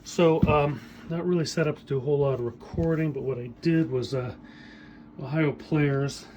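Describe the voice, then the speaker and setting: A middle-aged man giving a casual hands-on demonstration of equipment he repairs, speaking off the cuff with hesitant pauses as he works. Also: on the high side, a little mellow, a little hiss or hum. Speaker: hobbyist audio equipment repairer demonstrating a tape deck.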